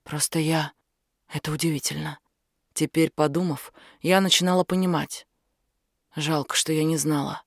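A woman's voice: sits low, fairly even intonation, normal speed, creaky, pauses often, dry recording, clean studio sound. A woman reading an audiobook as a shaken, stubborn and curious young woman who questions insistently. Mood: wondering. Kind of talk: audiobook character dialogue.

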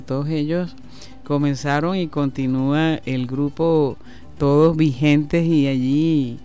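Picture a man hosting a radio show in the studio, talking at a very slow, deliberate pace with a slightly raised voice. He explains things patiently and stops often to search for the next word. Soft music sits low beneath him. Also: smooth. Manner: slow, explanatory, halting with fillers. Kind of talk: radio talk and interview.

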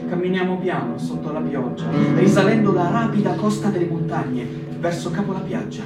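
A man's voice: sits high, animated, moderate pace. Solemn man in first-person narration. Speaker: reader in a performed reading. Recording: roomy radio broadcast from a distant mic. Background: loud guitar music.

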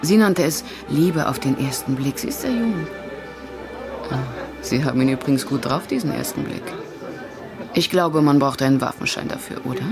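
An actress playing a cool, enigmatic woman in a radio drama, speaking in a measured, quietly teasing way. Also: deep voice, expressive. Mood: teasing, businesslike. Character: cool, enigmatic woman in a noir bar, controlled. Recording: music behind the dialogue.

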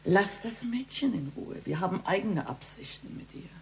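A brazen female gang messenger from a radio crime play. Her gravelly, mid-pitched voice gives curt, threatening commands, with a faint noisy hum behind it. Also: animated, moderate pace. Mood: cold, threatening. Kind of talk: radio crime drama acting.

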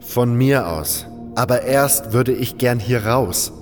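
A man's voice: medium pitch, takes it slowly, big-room sound, faint music behind, natural voice. A man acting a sarcastic, irritable adventurer. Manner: sarcastic, biting, annoyed. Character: sarcastic, exasperated male adventurer, reluctant and irritable. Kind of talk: fantasy audio drama acting.

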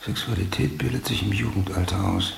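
An actor playing an obsessive, brooding police detective in a German radio drama, speaking in a clipped mutter that drifts toward a whisper. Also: male voice, low voice, brisk, gravelly. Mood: obsessive, analytical. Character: obsessive, brooding male police detective hunting a serial killer. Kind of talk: German radio drama acting, interior monologue. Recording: roomy, faint hiss.

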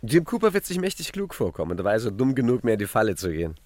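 A cunning gentleman gangster in a radio crime satire. He has a lowish voice that swings widely in pitch, with cocky, drawn-out emphasis. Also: very animated, no room sound. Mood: smug. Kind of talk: 1950s German satirical crime radio play.

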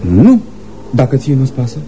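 An actor playing a shy, earnest, devoted young sailor in love, speaking hesitantly and tenderly in a radio theatre style. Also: male voice, medium pitch, sing-song, warm tone, a little hiss or hum, lightly acted.

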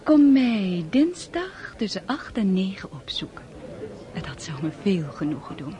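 Coy, flirtatious countess. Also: female voice, medium pitch, very animated, a little slow, over music. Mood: coy, teasing. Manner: coy, teasing. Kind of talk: radio drama acting.

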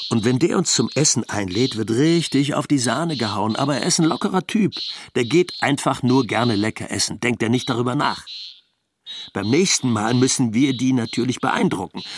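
Actor as a wry, exasperated husband. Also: male voice, medium pitch, slight background noise, lightly acted. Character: exasperated Western husband living in China. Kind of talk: documentary radio drama monologue, colloquial storytelling.

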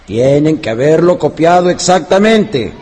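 A stern schoolteacher in a radio play, with a high-set, rough-edged voice that swings widely in pitch. He is authoritative and deliberate, and his words ring in a long hall reverb. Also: animated, darkish tone. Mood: formal, instructing. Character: stern male schoolteacher commanding his classroom. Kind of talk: radio drama acting.

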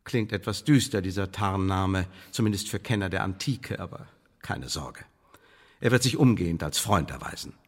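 Suave, menacing spymaster in a reverberant hall. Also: male voice, low-pitched. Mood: smooth, condescending. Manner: formal, measured, bureaucratic, condescending. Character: suave, coolly menacing English intelligence official, recruiting a spy. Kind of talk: radio play acting. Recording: studio radio play with long reverb.

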